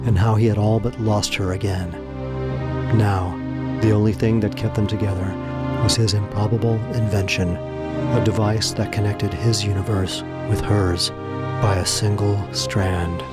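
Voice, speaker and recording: A man with a fairly low voice narrates a scripted audio drama. His delivery is dramatic storytelling, with music playing underneath.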